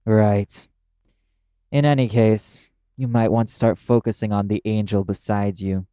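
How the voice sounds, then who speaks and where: A man's voice: lowish voice, steady tone, a little slow, mellow, dry recording, studio quality, natural voice. A man playing a brash, cynical male demon who speaks bluntly and scornfully in an audio roleplay. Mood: scornful, cold. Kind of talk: dramatic audio roleplay addressed to the listener.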